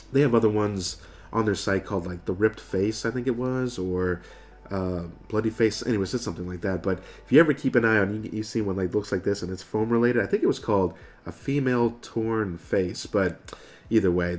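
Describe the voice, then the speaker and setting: An American man in his thirties, nasal from getting over a cold, casually explaining things to camera as a video host. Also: on the low side. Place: furnished room indoors.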